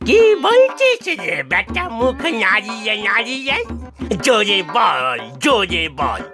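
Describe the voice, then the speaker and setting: A woman's voice: medium pitch, sing-song, slow, music in the background. A woman voicing a cranky, mischievous, hard-of-hearing old grandparent in a comic Bengali cartoon, scolding and exclaiming in a muddled way. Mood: scolding, irritated.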